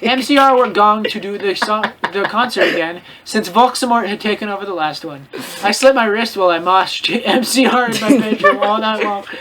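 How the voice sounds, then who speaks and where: A comedic reader with a middling pitch works through a piece of fan fiction aloud. The delivery is mocking and exasperated, with exaggerated emphasis and frequent asides. Voices chatter clearly behind. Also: normal speed, rough recording. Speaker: co-host reading aloud. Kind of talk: comedic dramatic reading of a fan fiction with commentary.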